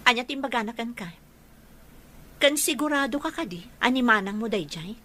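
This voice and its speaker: High, bright actress as suspicious young sweetheart, radio drama over faint music. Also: female voice, lively intonation, moderate pace, a little hiss or hum. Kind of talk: Ilocano radio drama acting.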